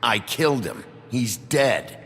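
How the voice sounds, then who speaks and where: An actor playing a gruff, short-tempered sheriff in a video game, blunt and terse. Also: male voice, mid-range voice, animated, slow pace, a bit bright, long reverb tail. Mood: accusing. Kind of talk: dramatic video game acting.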